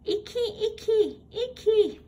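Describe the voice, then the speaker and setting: High-pitched woman reading very slowly. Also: animated, warm tone. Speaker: picture book reader. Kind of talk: children's read-aloud storytelling. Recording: home recording with background noise.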